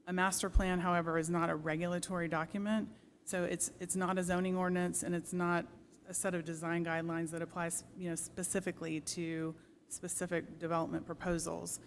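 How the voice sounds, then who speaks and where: A planning staff member speaks at a public meeting in a measured, explanatory manner. The pitch is medium and stays quite flat. There is faint room noise around the voice. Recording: noisy meeting room ambience.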